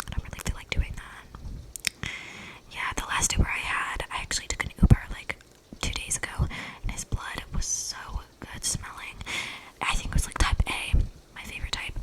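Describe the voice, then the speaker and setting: A woman's voice: normal speed, close to an everyday voice, poor audio. Woman playing a whispering, rambling young vampire. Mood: casual, sly. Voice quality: breathy whisper with creaky edges. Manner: rambling, casual slangy delivery with many likes. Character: eccentric, chatty young female vampire on the run. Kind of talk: whispered ASMR roleplay monologue. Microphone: close microphone with audible breathing.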